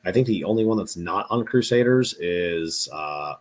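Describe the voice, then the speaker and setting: Male host, stuffy and congested, lowish voice, laid-back chat with lots of ums. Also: lively intonation, moderate pace. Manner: easygoing, many fillers and restarts. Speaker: podcast host. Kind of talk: casual video-call chat.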